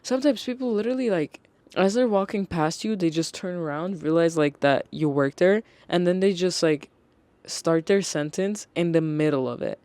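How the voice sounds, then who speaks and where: A young adult podcast host telling retail stories in a casual, animated way, swearing and acting out customers. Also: medium pitch, moderate pace, no room sound.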